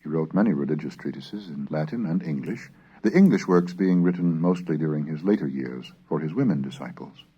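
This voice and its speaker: Scholarly man reading, with a lively, swooping pitch. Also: a little fast, slightly rough. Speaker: reader and lecturer of a recorded language course. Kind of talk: scripted narration and dramatic reading of Middle English texts. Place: recorded narration on cassette. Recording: dull, lo-fi 1970s cassette recording.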